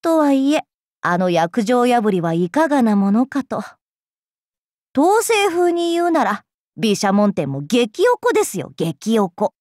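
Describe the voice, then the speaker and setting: Lively, swooping warrior maiden. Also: female voice, fairly high pitch, a little slow, dry recording, studio quality. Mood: playful, indignant. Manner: polite, composed, playfully indignant. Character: playful, polite female warrior, battle-loving rival. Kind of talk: Japanese mobile game character lines.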